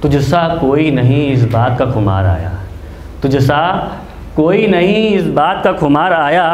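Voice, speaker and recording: Young guy doing open mic poetry, voice a bit high, declamatory, roomy echo. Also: animated, measured pace, darkish tone. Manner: declamatory, repeats each line for emphasis. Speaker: amateur poet, open mic performer. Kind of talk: spoken-word poetry recitation. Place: small indoor open mic venue. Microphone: handheld-type mic on a stand, close. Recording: echoey room with background noise.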